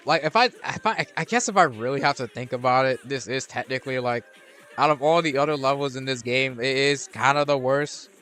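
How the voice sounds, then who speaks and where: A man's voice: mid-range voice, a little fast, a bit bright, soft background music. Laid-back man musing over gameplay. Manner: rambling, many restarts and fillers, laid-back. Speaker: gaming channel host. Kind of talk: casual gameplay commentary.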